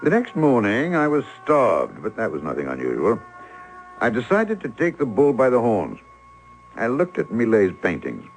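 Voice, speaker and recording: A man's voice: medium pitch, wildly swooping pitch, moderate pace, soft background music, low-quality recording. An actor in an old-time radio drama playing an earnest, polite English painter who tells of his past with wry humor. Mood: wry storytelling.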